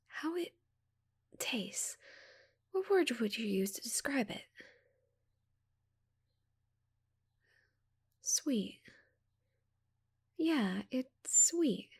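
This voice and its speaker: A woman voicing a shy, curious giant female alien in a soft, breathy ASMR roleplay, with a hesitant, stammering manner. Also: on the high side, heavily acted. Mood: delighted, curious.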